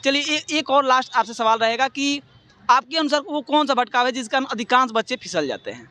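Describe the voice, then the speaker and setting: Male interviewer, very high, bright tone; halting questions. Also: animated. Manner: hesitant, many fillers while asking. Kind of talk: street interview.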